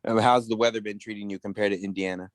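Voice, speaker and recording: A male reporter asks friendly, chatty questions at a press conference. His voice is on the low side. The sound is dry, with no room echo. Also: little pitch movement, fast.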